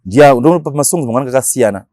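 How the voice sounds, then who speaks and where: A man's voice: mid-range voice, expressive, quick pace, warm tone, dry recording, studio quality. A man with a Zimbabwean accent, talking casually as a radio DJ being interviewed, mixing languages and repeating words for emphasis.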